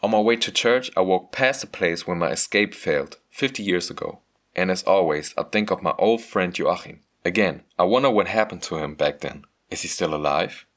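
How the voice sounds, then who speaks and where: Low-pitched man narrating a personal story. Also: animated, normal speed, a bit bright. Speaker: narrator of a motion comic.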